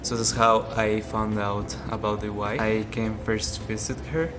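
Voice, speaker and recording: A man's voice: fairly low pitch, flat delivery, moderate pace, background noise. A young man from Peru, a native Spanish speaker with a Peruvian accent, answering interview questions casually. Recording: background music over the voice.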